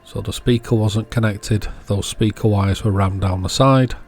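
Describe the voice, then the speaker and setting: A man's voice: lowish voice, steady tone, moderate pace, darkish tone, soft background music. A man hosting a repair video, giving casual hands-on commentary and thinking aloud as he works. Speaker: host of an electronics repair channel.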